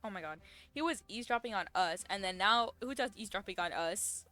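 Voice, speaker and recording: A young woman streams a game with casual, expressive commentary full of slang. Her voice is bright and forceful at a medium pitch, with light music behind it. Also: brisk. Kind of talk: casual reaction commentary while gaming.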